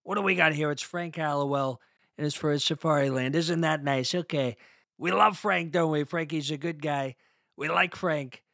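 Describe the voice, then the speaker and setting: An actor playing a sleazy pitchman for a roadside animal park in a parody radio ad, rambling in folksy salesman patter. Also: a bit bright, no echo, studio quality. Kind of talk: parody local radio ad read.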